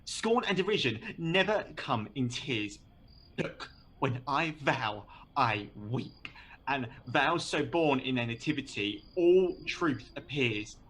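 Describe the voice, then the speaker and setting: A man's voice: fairly high pitch, animated, slow, fairly bright tone, breathy edge, exaggerated voice. A man playing an earnest young suitor who pleads his love in Shakespearean radio verse. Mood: pleading.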